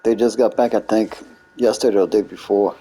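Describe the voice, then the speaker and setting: A man's voice: lowish voice, steady tone, fairly quick, warm tone, low-quality recording. A man chatting casually over video game play. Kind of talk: casual chat over gameplay.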